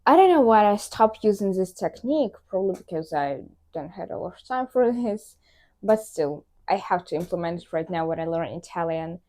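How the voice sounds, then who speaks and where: A woman's voice: on the low side, animated, moderate pace, a little mellow. A woman vlogger sharing language-learning tips straight to camera, upbeat and encouraging, with slight non-native grammar slips. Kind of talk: direct-to-camera tips vlog.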